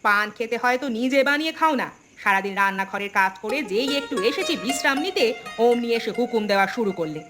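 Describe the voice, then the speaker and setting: A woman plays a sharp-tongued village wife in a cartoon, shrewd and easily put out. Her high voice moves quickly in scolding questions over a clear music bed. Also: expressive. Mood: nagging. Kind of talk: cartoon dialogue.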